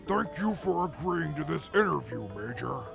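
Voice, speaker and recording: A man's voice: a little high, big pitch swings, normal speed, vocal fry, music in the background, exaggerated voice. A man playing a grandiose, formal robot master of ceremonies interviewing in an audio drama, stilted and pompous. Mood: pompous, courteous. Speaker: interviewer on a radio segment. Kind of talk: audio drama acting, mock radio interview.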